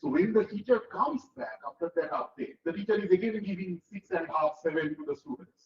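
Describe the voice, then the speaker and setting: Middle-aged male lecturer, high voice, echoey dull hall recording. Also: quick pace. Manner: explains step by step, asks listeners rhetorical checks. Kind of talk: technical lecture with classroom analogies.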